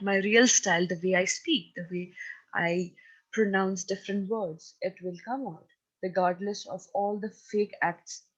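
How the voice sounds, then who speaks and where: A woman with a Pakistani accent delivers a lecture in a steady, mid-pitched voice. She explains each point firmly and pauses to ask her listeners whether they are following. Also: poor audio. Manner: instructive, emphatic, checks in with listeners. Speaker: teacher. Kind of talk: recorded lecture on presentation skills.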